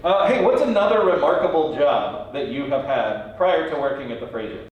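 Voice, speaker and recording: A man's voice: medium pitch, animated, moderate pace, muffled recording. A man hosting a light, playful interview, asking questions in an informal, quirky, jokey way. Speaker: interview host. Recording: very echoey room, distant mic.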